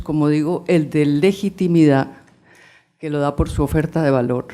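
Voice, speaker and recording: A moderator opening a civil society panel discussion in a lecture hall, speaking thoughtfully with pauses and fillers. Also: mid-range voice, mellow.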